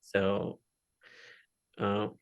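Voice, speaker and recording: Middle-aged Indian-accented man, Oriya-speaking, gravelly low voice, hesitant interview guest. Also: monotone. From India (Odisha). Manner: halting, many fillers and restarts. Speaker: interview guest, software engineer. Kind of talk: casual interview talk.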